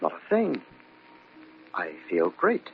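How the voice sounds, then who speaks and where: Menacing radio-drama man, wildly swinging pitch. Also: wildly swooping pitch, takes it slowly, creaky, husky, faint music behind, full character voice. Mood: edgy, brooding. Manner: theatrical, taunting, swinging from quiet menace to outbursts. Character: menacing, bitter, unhinged murderous husband. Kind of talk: old-time radio drama acting. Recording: muffled, noisy old radio recording.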